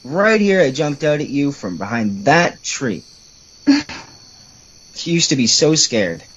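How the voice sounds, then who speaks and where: Casting: male actor as sarcastic, complaining brother for horror audio drama, very lively pitch swings. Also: takes it slowly, highs cut off, slight background noise. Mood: teasing, mock spooky. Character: teasing, grumbling adult brother, sarcastic and irreverent.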